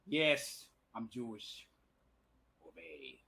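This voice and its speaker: A man joking loudly in a casual online voice chat, laughing often and putting on mock-dramatic lines. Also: mid-range voice, drawn-out words, vocal fry, a crowd in the background. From Puerto Rico. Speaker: debate participant.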